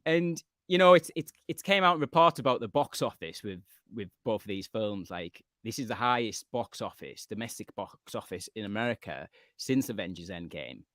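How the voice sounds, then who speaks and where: A man hosting a live film podcast, chatting casually with lots of fillers and lively storytelling. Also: on the low side, normal speed, hardly any pauses, dry recording, clean studio sound. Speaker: podcast host. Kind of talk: casual chat on a live stream.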